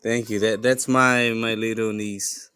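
A man chatting casually as a podcast guest, in a hesitant, halting way. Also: lowish voice, little pitch movement, bright, forceful tone, clear, resonant tone. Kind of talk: casual chat.

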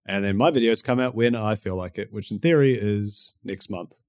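A man's voice: on the low side, moderate pace, darkish tone, no room sound, clean studio sound. A man with a New Zealand accent, chatting as a podcast guest, swearing often and laughing a lot. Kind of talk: casual chat.

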